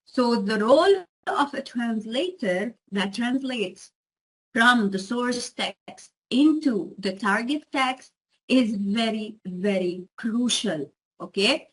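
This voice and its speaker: A woman's voice: fairly high pitch, expressive, slow, fairly bright tone, rough recording. A woman giving an explanatory lecture to students, often checking in with her listeners. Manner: frequent check-ins with listeners.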